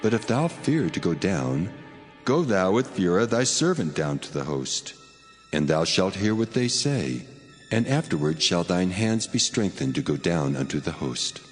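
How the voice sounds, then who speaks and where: Deep, cavernous, commanding voice of God. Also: male voice, sing-song, unhurried. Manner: solemn, authoritative. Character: God, a commanding, solemn male divine voice. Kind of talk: dramatized scripture acting. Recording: long cavernous reverb over music.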